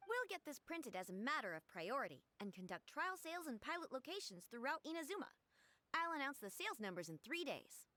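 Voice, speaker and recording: A woman's voice: sits high, big pitch swings, fairly quick, bright, forceful tone, no echo, full character voice. A woman voicing a polite, eager, businesslike publishing house worker in a video game, courteous and upbeat.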